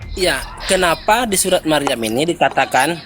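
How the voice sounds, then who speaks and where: A man's voice: a little high, expressive, normal speed, a bit bright, a little hiss or hum. A man debating on a live online stream, hesitant and stammering, often repeating words as he argues. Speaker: debate guest.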